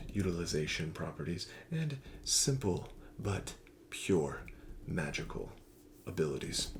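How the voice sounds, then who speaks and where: A man playing a kindly, courtly pumpkin seller in a wizarding village, soft-spoken and often whispering in an ASMR roleplay, with flowery sales patter. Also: sits low, vocal fry, a little hiss or hum, full character voice. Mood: courteous, helpful.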